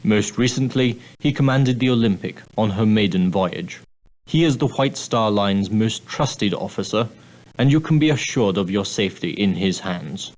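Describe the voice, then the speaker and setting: An actor playing a prim, straight-laced ship's steward in an adventure game, speaking formally with dry, condescending politeness. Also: male voice, fairly low pitch, normal speed, a little mellow, lightly acted, rough recording. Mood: formal, courteous. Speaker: steward and guide on an ocean liner. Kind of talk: scripted adventure game character dialogue.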